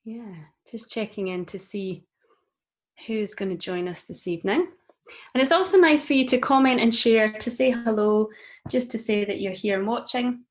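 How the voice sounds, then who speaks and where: A woman with a Scottish accent, a mindfulness coach leading a live-streamed guided session in a gentle, encouraging way with frequent ums. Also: fairly high pitch, expressive, normal speed. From Scotland.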